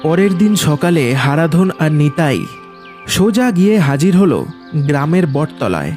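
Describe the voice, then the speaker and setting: Male narrator, slightly high voice, dramatic scripted ghost storytelling, music underneath. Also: sing-song, a little slow, darkish tone.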